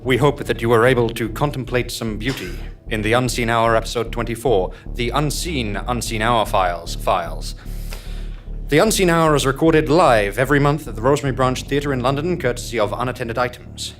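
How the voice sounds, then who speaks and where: Mid-pitched male comedy drama narrator. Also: a little fast, faint music behind. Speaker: narrator and announcer. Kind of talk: scripted narration for live comedy audio drama.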